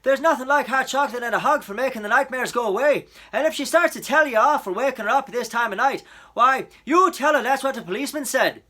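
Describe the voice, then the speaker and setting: A man's voice: very high-pitched, fast, sharp tone, pitched above the performer's own voice, exaggerated voice. A man voicing a hearty, patronizing police officer with an amused manner in a storybook. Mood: jovial, soothing. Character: gruff, jovial, patronizing male police officer on the telephone. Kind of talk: storybook character voice.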